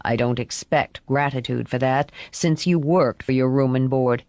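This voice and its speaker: A woman voicing a stern, controlled father who speaks in a formal, measured way, as in an audiobook. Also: extremely low pitch, darkish tone, dry recording, pitched below the performer's own voice. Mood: stern, disappointed. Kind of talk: audiobook character voice.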